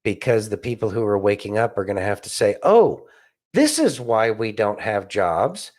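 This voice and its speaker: A man's voice: fairly low pitch, sing-song, normal speed, a little mellow. A man co-hosting a political talk podcast, speaking in a blunt, emphatic and indignant way. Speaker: podcast co-host.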